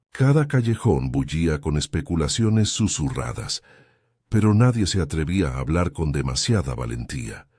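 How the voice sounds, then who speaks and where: A man narrating a mystery story in a measured way on a close studio mic. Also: low voice, a little mellow, dry recording. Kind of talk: scripted audiobook narration.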